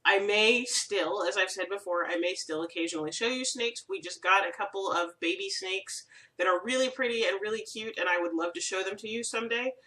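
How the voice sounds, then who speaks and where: A woman's voice: medium pitch, fairly quick, bright, forceful tone, distant mic. A woman with a slight head cold, hosting a casual crafting vlog from her room at home, rambling in a friendly way with plenty of ums. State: stuffy from a cold. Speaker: crafting video host.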